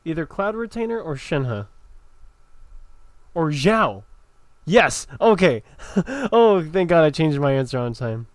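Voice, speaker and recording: A woman's voice: low-pitched, very animated, slow, pauses often, dry recording. A woman playing a video game, giving casual, chatty commentary as she goes.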